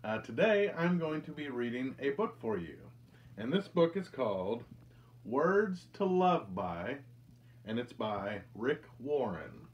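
An American man in his thirties reads a picture book aloud to children with deliberate, expressive storytelling delivery. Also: medium pitch, lo-fi sound. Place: indoors, small room with curtain backdrop.

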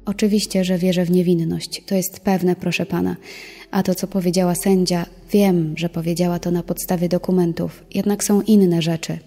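A woman's voice: on the low side, level tone, moderate pace, dark tone, soft background music. A woman reading quoted statements from a criminal case in a formal, restrained manner for a documentary, in a reverberant hall. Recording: long reverberant hall echo.

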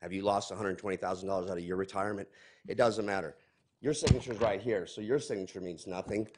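A man's voice: fairly low pitch, fast, breathy edge. A man in his forties giving a heated, accusing public comment at a podium mic in a large council chamber.

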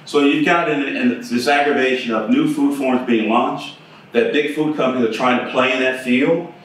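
A man's voice: mid-range voice, fairly even intonation, moderate pace, a bit bright, distant mic, slight background noise. Man giving a keynote in an echoey room. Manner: conversational, asks audience questions. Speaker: keynote speaker. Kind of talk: conference keynote talk. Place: conference room with projector screen.

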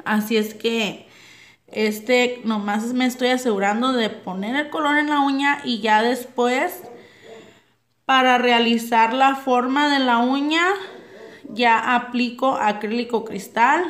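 A woman's voice: on the high side, fairly bright tone. A young woman, not a native Spanish speaker, chatting through a nail tutorial at home and addressing her viewers as girls. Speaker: nail artist. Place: home nail table, dogs barking outside.

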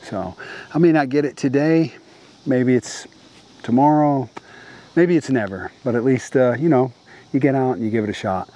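A man's voice: medium pitch, moderate pace, pauses often, dark tone. A middle-aged man vlogging landscape photography tips outdoors at dusk, talking casually with lots of fillers and restarts.

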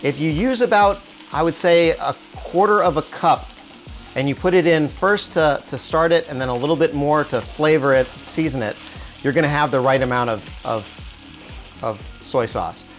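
Calm man teaching a cooking demo. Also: a little high, normal speed. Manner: calm step-by-step instruction with small restarts. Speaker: cooking show host. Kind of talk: cooking demonstration. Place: home kitchen indoors. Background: soft music and sizzling wok.

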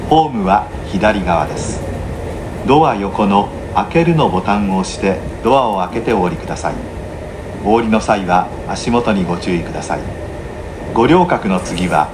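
A man giving formal, measured, scripted onboard announcements as a train announcer, polite and formulaic. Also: lowish voice, expressive. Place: inside a train, over the announcement system. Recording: noisy train cabin, air-conditioning hum.

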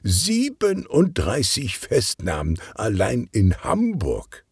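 A man acts out a pompous police director in a children's detective story. He gushes grandly in a gravelly voice with sweeping intonation. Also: mid-range voice, huge pitch swings, slow, a little mellow, no room sound, clean studio sound, pitched above the performer's own voice, full character voice. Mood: effusive.

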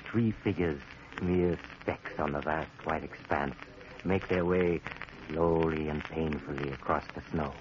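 An actor playing a sinister male horror host in a 1940s radio drama, telling the story slowly and ominously. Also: extremely low pitch, steady tone. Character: sinister male host Doctor Weird, deep and mocking. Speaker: host narrator. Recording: dull old radio broadcast with hiss.

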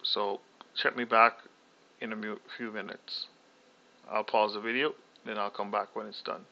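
A young man casually talking through a software tutorial, thinking aloud with fillers and restarts. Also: on the low side, flat delivery, moderate pace, creaky. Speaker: tutorial host. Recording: slightly dull lo-fi audio.

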